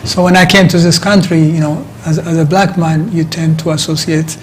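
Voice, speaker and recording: A middle-aged man from Ethiopia with an Ethiopian accent, answering interview questions conversationally in a halting way, repeating words as he explains. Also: on the high side, steady tone, a little hiss or hum. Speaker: interview guest, activist.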